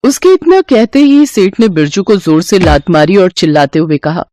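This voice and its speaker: A woman's voice: fairly low pitch, animated, moderate pace, warm tone, no room sound, studio quality. A woman narrating a scripted story as the storyteller.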